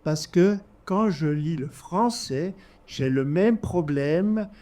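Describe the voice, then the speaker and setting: A man with a Swiss German accent speaks from the audience at a literary event. His voice is fairly high and mellow, and he goes slowly in short, deliberate, halting phrases. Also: lively intonation. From German-speaking Switzerland. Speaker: audience member. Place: live literary café event.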